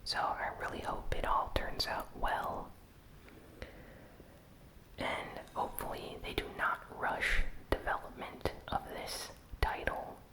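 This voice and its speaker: Brief: ASMR news host, fully whispered, slow and deliberate, crisp bright hiss with some crackle. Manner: slow, soft, unvoiced whispering. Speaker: host of a gaming news channel.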